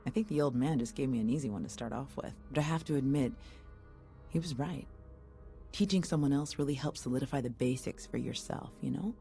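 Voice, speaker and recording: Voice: medium pitch, a little fast, creaky, dark tone, faint music behind, natural voice. An actor voicing an easygoing, supportive soldierly companion in a video game, casual and conversational. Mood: relaxed.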